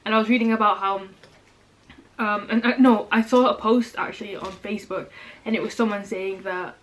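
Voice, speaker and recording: A woman's voice: mid-range voice, fairly even intonation, a little fast, fairly bright tone. A young woman chatting casually while eating, rambling with lots of "um" and "like" fillers. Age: young adult. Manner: rambling, many "um", "like", "kind of" fillers. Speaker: vlogger, older sister.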